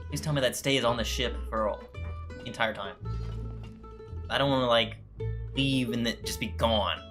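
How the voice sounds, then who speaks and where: A man's voice: medium pitch, moderate pace, fairly bright tone. Casual man commentating over game music. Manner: informal, loose, thinking aloud. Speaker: gaming streamer.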